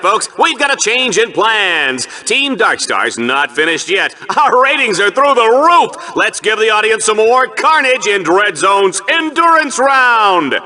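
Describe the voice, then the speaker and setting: Give this video game arena commentator a high, bright voice that swoops with showmanship. He is a hammy, smarmy male sportscaster, gleefully callous about the carnage. Every phrase gets theatrical announcer emphasis. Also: heavily acted. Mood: gleeful, menacing. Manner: theatrical, showy announcer delivery with exaggerated emphasis. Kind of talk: over-the-top sports commentary.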